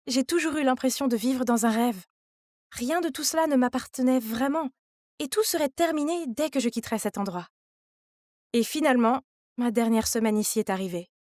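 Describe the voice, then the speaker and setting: A woman narrates a scripted story in the first person as a young heroine. Her voice is high and confiding, and the recording is very dry. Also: a little mellow, no room sound.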